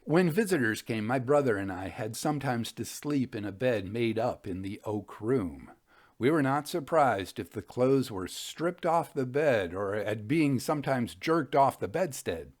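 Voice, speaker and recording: A man hosting a storytelling show, reading old ghost stories aloud in an expressive, dramatic narrating style. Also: medium pitch, measured pace.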